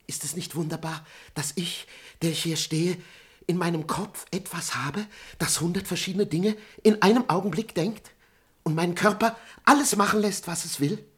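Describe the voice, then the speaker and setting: An actor playing a pious, talkative manservant who argues earnestly like a would-be scholar in a German radio comedy. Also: male voice, high-pitched, moderate pace, close to an everyday voice. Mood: excitedly arguing. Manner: earnest, argumentative, pseudo-learned rambling. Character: talkative, pious male servant disguised in doctor's robe.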